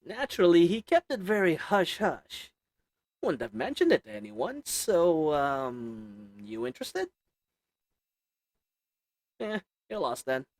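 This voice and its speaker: A man's voice: sits high, big pitch swings, slow pace, creaky, pauses often, a little mellow, no echo, full character voice. A man voicing a sly little old goblin merchant who sells shady goods, talking in a wheedling folksy drawl. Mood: sly salesman.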